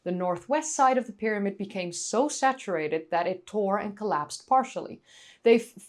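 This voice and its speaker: Dutch-accented woman with very lively intonation. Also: mid-range voice. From North Holland, Netherlands. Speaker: history video presenter. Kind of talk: scripted educational narration with casual asides to viewers.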